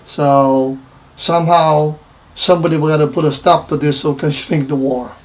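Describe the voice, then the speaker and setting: A man in his seventies from Romania with a Romanian accent, recounting his wartime childhood in an interview in halting, repetitive English. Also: fairly high pitch, fairly even intonation, low-quality recording. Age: 70s. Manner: halting, repeats phrases, broken English grammar. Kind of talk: personal wartime recollection interview.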